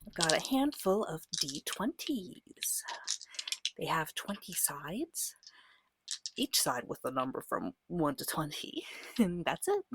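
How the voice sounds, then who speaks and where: A woman speaking softly and breathily, often near a whisper, gently and patiently guiding a beginner as game master in an ASMR roleplay. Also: deep voice, very animated, breathy edge. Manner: gentle, patient, reassuring, unhurried. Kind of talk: soft-spoken ASMR roleplay tutorial.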